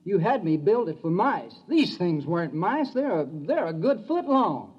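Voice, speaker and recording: A man's voice: high-pitched, big pitch swings, normal speed, slightly rough, exaggerated voice. A man playing a folksy, eager tinkering machinist with an American accent in a 1950s radio drama. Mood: dismayed. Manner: folksy, colloquial. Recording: dull, band-limited old radio recording.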